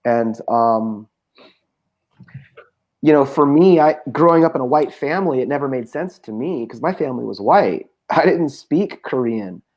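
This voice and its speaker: Thoughtful man, pausing often. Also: mid-range voice, expressive, dull audio. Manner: thoughtful, hesitant with many pauses. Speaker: poet, guest. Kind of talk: casual conversation. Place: home room.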